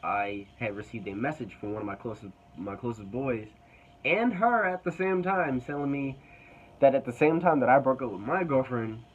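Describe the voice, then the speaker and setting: A man's voice: medium pitch, normal speed, narrow, tinny audio. A young man casually telling a personal story to camera, hesitant and rambling with many fillers.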